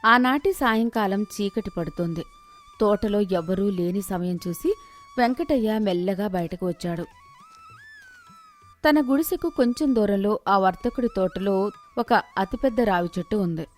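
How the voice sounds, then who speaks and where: A woman's voice: mid-range voice, expressive, measured pace, soft background music. A woman giving scripted narration of a moral story as its storyteller.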